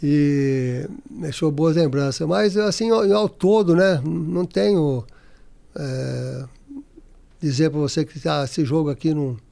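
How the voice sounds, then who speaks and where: Gravelly-voiced Brazilian man in his sixties. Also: fairly high pitch, mellow. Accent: Brazilian Portuguese. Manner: halting, many restarts and fillers. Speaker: interviewee, former footballer. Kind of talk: casual interview.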